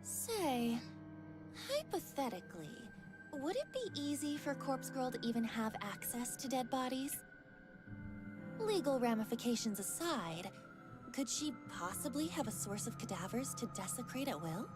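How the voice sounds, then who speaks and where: An inquisitive, calculating young woman from a visual novel. The voice sits high and moves in lively swings, questioning with studied innocence. Loud music plays behind it. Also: a little slow. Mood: probing, coy.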